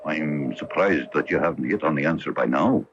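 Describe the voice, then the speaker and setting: A dull, noisy AM radio recording of a self-assured police inspector. His extremely deep voice is blunt and earnest, in old radio mystery style. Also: normal speed, a bit bright. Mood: confident. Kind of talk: old-time radio mystery drama acting.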